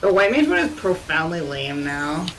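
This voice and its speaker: A woman's voice: deep voice, animated, moderate pace, low-quality recording. A woman chatting casually with teammates during an online raid game. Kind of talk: casual gaming chat. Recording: game music behind the voice.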